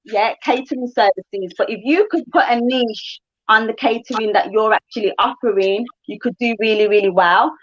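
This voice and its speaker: A woman's voice: a little high, normal speed, few pauses. Chatty woman on a muffled call. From England. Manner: chatty, frequent fillers and asides. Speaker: guest. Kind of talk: casual livestream interview.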